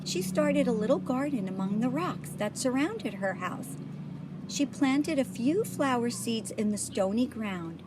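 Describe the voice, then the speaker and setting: A woman reading a children's picture book aloud, pausing to point things out to young listeners. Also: fairly high pitch, very noisy. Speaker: storybook reader.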